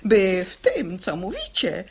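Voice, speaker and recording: A woman's voice: mid-range voice, wildly swooping pitch, slow pace, darkish tone, breathy edge, full character voice. An actress playing a stubborn, sanctimonious elderly mother in a radio play, preaching in theatrical verse. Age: older. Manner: sententious, theatrical.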